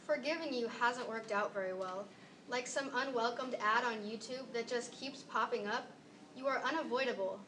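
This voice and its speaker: A woman's voice: fairly high pitch, moderate pace, fairly bright tone, far from the mic, poor audio. Female student, early teens, stage recitation, off-mic with faint noise. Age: young teenager. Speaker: student performer. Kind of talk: readers theater recitation.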